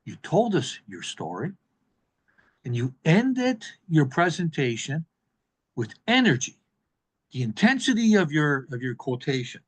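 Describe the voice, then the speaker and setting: A man delivering an evaluation speech at a public speaking club in an emphatic, deliberate manner. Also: fairly high pitch, huge pitch swings, husky.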